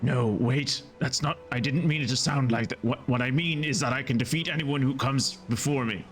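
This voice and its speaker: A man's voice: mid-range voice, normal speed, a bit bright. A man streaming a game live, giving joking, irreverent and sarcastic commentary. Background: game music.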